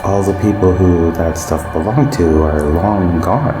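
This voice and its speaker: An actor as a puzzled, uneasy man in a realistic audio drama. His voice is low and his delivery conversational and questioning, with echo around it and clear music behind. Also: a little slow. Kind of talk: naturalistic fiction podcast acting. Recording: roomy echo, music underneath.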